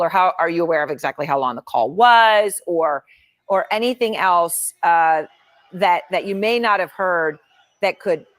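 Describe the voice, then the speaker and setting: A woman asking halting, hedging questions in a broadcast interview clip. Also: fairly low pitch, fairly bright tone, dry recording.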